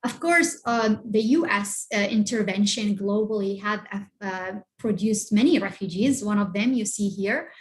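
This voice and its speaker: A middle-aged woman from Iraq, a refugee organizer, giving a presentation over a video call and filling pauses with many uh and um sounds. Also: mid-range voice, poor audio. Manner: halting, many uh and um fillers. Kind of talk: online presentation with shared slides.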